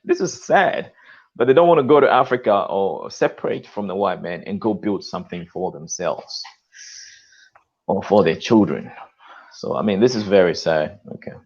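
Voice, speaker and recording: A man's voice: lowish voice, husky. Emphatic man with lo-fi stream audio. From lives in Australia. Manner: emphatic, repeats "okay" and "you know". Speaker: channel host. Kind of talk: live-stream call discussion.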